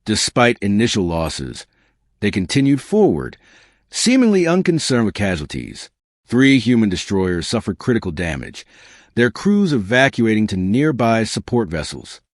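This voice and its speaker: A man reading a scripted science fiction story as an audiobook narrator, in a measured, dramatic storytelling delivery. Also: lowish voice, sing-song, no room sound, clean studio sound.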